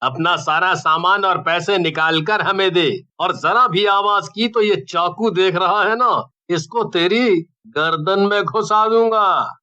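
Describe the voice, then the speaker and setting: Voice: medium pitch, takes it slowly, sharp tone, lo-fi sound. A performer voicing a menacing, greedy male robber in a cartoon story, blunt and bullying. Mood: threatening. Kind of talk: hobby cartoon story acting.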